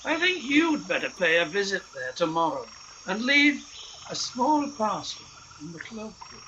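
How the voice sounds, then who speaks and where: Male actor as cold spymaster for radio drama, high and drawn-out delivery, menacing, superior. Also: very animated, sharp tone, muffled recording, heavily acted. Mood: coolly menacing. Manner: deliberate, menacing, condescending. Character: sinister, coldly sardonic saboteur boss. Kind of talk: radio play acting. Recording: streamed recording with faint noise.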